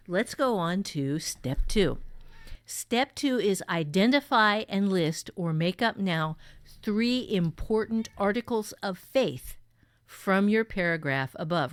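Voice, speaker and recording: A woman teaching and co-hosting a conversational workshop, explaining things emphatically and repeating key points. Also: mid-range voice. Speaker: fiction writing teacher and co-host.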